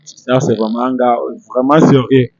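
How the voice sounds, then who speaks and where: A man hosting an unscripted street interview, speaking in halting, repetitive phrases. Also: mid-range voice, flat delivery, darkish tone, dull audio.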